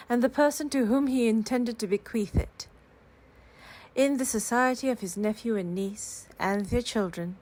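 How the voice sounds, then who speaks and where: A woman reading classic literary fiction aloud in a calm, cozy storytelling style. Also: a little high, poor audio. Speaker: reader of classic novels aloud.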